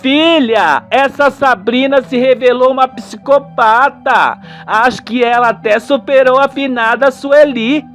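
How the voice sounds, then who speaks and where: A man's voice: very high-pitched, unhurried, fairly bright tone, soft background music, pitched above the performer's own voice. A man voicing an anxious mother in a radio soap, fretful, emphatic and protective of her daughter. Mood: shocked.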